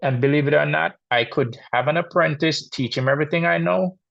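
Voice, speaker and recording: A Jamaican man with a gravelly edge in his voice, talking about his trade in a down-to-earth way to a community audience. The recording sounds somewhat dull and lo-fi. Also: fairly high pitch, fairly quick, fairly bright tone. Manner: plain-spoken and practical, with many restarts. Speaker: guest speaker, exterminator. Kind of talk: informal talk to a church group. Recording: dull, lo-fi audio.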